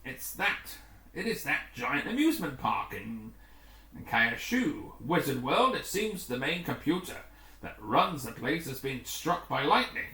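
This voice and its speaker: A man voicing a careful male rescue commander in an adventure story, measured and authoritative with some hesitation. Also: mid-range voice, big pitch swings, pushed and bright, far from the mic, exaggerated voice. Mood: grave. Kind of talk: acted adventure story dialogue. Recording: echoey, roomy home recording.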